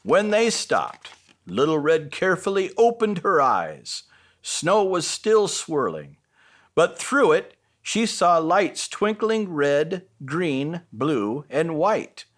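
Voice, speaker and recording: A man's voice: a little high, big pitch swings, slow, a bit bright. A man reading a children's bedtime story expressively as a storyteller.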